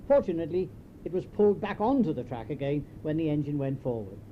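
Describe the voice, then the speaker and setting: An older British man reading his own written memoir in a measured, wry, understated storytelling manner. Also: a little high, lively intonation. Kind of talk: scripted memoir narration. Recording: dull lo-fi home tape, faint noise.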